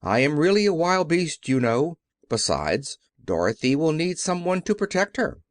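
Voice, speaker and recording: A man's voice: fairly high pitch, big pitch swings, normal speed, no room sound, studio quality, voice pushed up. A man voicing a proud, protective wild lion in a children's storybook, declaring boldly. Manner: declarative, bold.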